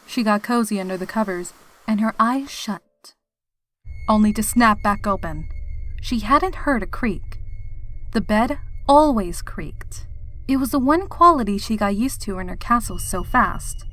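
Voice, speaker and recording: A woman narrates dark fan fiction in a voice of medium pitch. The delivery is scripted, dramatic storytelling, with music playing behind her. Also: lively intonation, unhurried, rough recording. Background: background music.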